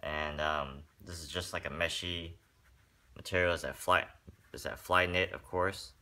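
A man's voice: deep voice, a little slow. A man talking casually through a sneaker review in a room at home, rambling a little and filling gaps with "um". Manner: relaxed and rambling, with many fillers. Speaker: sneaker vlogger. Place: room with a wooden floor, indoors.

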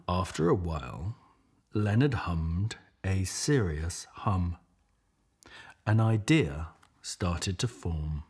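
A middle-aged man reading a picture book aloud with measured, expressive storytelling and a husky voice. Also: sits low. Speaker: storybook reader.

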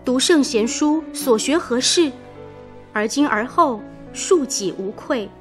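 Young idealistic woman, high pitch, earnest pleading, radio play, faint music underneath. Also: lively intonation, slow. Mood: solemn resolve. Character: earnest, idealistic young daughter, patriotic and sensitive. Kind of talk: radio drama acting.

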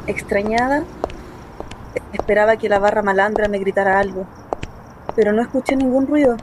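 A woman's voice: medium pitch, moderate pace, noisy background, lightly acted. A woman playing a young working-class Chilean daughter in a dramatic first-person radio play. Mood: haunted, tense. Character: young working-class daughter recounting her assault, wounded, bitter. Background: music.

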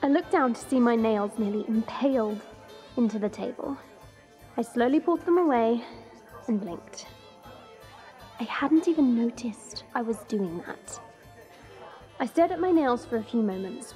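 A woman playing a haughty, irritable dragon princess who narrates her own story in a measured, scornful way. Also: on the high side, animated, warm tone, natural voice. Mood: brooding. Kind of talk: first-person story narration. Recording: music bed under the voice.

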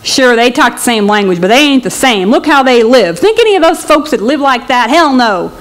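A woman reader plays a swaggering deputy sheriff from a novel, full of contempt. The voice is pitched up from narration, blunt and bullying, with rough country wording. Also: big pitch swings, a little fast. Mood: scornful. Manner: blunt, bullying, folksy rural dialect. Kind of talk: storybook villain in a literary reading.

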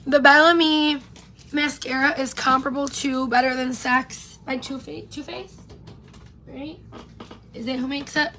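A woman's voice: sits high, steady tone, unhurried, bright, forceful tone, a little hiss or hum. A woman in her thirties chatting casually while doing her makeup on a live stream, her voice worn and going. She rambles and greets viewers by name. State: losing her voice. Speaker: beauty vlogger. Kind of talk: casual get-ready-with-me live chat. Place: home room with pink neon lights, indoors. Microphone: camera in front at close range.

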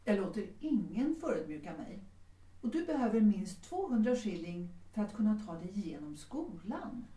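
A woman's voice: mid-range voice, very animated, warm tone, close to an everyday voice. Proud widowed mother in a roomy space acted by a woman. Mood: composed, firm. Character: proud, resolute middle-aged widowed mother in 1930s Vienna. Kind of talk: Swedish audio drama acting. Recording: roomy, distant from the mic.

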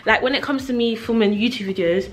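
A woman's voice: on the high side, fast talker. Chatty young London woman vlogging. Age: 20s. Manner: chatty, slangy. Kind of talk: casual vlog chat.

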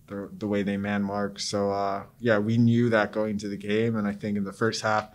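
Measured young man, lowish voice. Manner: measured, steady, frequent fillers. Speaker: pro soccer player in post-game press conference. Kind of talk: post-game press answers. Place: press room before a sponsor backdrop.

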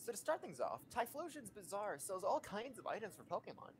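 Woman playing a very animated peppy creature guide. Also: mid-range voice, brisk, slight background noise, natural voice. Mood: bossy, eager. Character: bossy, peppy young female Pokemon town guide. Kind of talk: fan comic dub acting. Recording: music under the voice.

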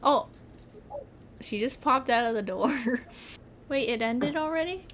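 A young woman chatting excitedly with friends while playing a game, often gasping and laughing. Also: sits high, slow pace, slight background noise. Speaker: player. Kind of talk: casual gaming chat. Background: game music.

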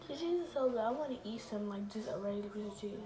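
A woman's voice: mid-range voice, quick pace. A young woman chatting casually while cooking in her home kitchen and filming on a phone camera, playful and sing-song, repeating catchphrases. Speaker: cooking vlogger. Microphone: phone camera on the counter. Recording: echoey kitchen, camera at a distance, loud background noise.

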